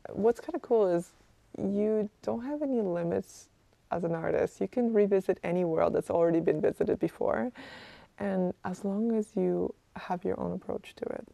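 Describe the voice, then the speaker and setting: A woman's voice: medium pitch, vocal fry, mellow, no echo. A woman chatting casually as an interview guest. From Kazakhstan, moved to Canada aged ten.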